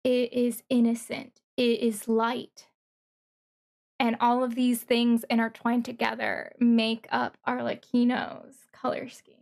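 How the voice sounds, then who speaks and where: A woman streamer giving casual reaction commentary, thinking aloud in a halting way and repeating words. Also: a little high, gravelly, a little mellow. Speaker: streamer reacting to a game trailer.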